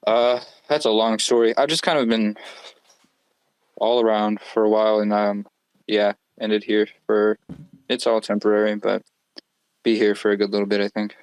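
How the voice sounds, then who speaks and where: A man's voice: on the low side, little pitch movement, normal speed, pauses often, smooth, no room sound, poor audio. A young man from Florida, a podcast guest, talking in a laid-back, rambling, slangy way. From Northwest Florida. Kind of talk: casual podcast interview.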